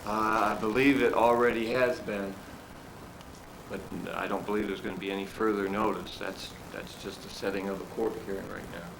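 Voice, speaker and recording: Man, county official, flat monotone, hesitant, background noise. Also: on the low side, moderate pace. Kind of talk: meeting discussion.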